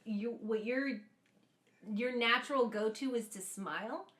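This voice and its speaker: Animated young woman, bright voice, echoey room. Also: fairly high pitch, unhurried. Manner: animated storyteller, acts out voices and sounds. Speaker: podcast host and actor. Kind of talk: casual chat.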